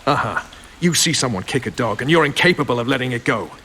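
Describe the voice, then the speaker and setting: An actor playing a gruff, cynical military commander in a video game, blunt and sardonic. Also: male voice, mid-range voice, expressive, quick pace, bright, forceful tone, exaggerated voice. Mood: indignant, sarcastic. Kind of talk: video game cutscene acting. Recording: faint rain and ambience behind.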